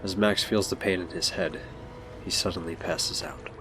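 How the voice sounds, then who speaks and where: Low-voiced man narrating an audio drama. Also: fairly even intonation, moderate pace, over music. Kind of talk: scripted narration.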